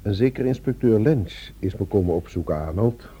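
An actor playing a calm, concerned but firm superior and friend in a Dutch radio drama, speaking deliberately. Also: male voice, lowish voice, breathy edge, highs cut off. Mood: gently firm.

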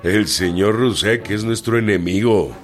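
An actor playing a commanding, defiant revolutionary general with a Mexican accent in a historical radio drama. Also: male voice, fairly low pitch, a little slow, natural voice. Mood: firm, defiant. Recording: music behind the voice.

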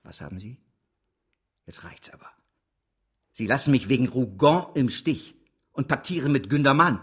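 An actor playing an embattled, scornful banker in a German radio drama, with a biting, contemptuous manner and a husky voice. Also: male voice, mid-range voice, lively intonation, moderate pace. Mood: angry, accusing.

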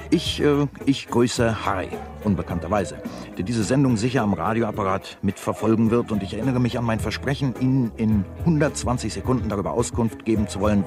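An actor as a deep-voiced, showy talk show host. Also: male voice, lightly acted. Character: slick, chatty male radio talk show host. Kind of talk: satirical radio drama, show moderation.

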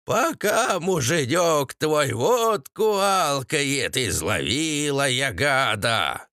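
A man voicing a vicious, triumphant old mother-in-law who scolds and cackles in drawn-out rustic speech. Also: on the high side, sing-song, pushed and bright, no echo, studio quality, heavily acted. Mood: gloating.

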